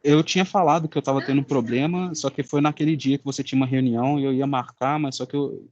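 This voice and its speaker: A young man speaks with a Brazilian Portuguese accent at a medium pitch. He explains his work like a student presenting, colloquial and a little halting. The sound is dry, with very little room in it. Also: fairly even intonation, a little mellow, no echo, muffled recording. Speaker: student presenting a final project. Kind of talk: informal student presentation and discussion.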